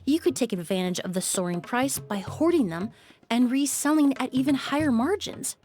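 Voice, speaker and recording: A woman voicing a composed young perfumer in a video game, speaking in a measured, explanatory way. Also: a little high, darkish tone, lightly acted. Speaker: perfumer with medical knowledge. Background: faint game music.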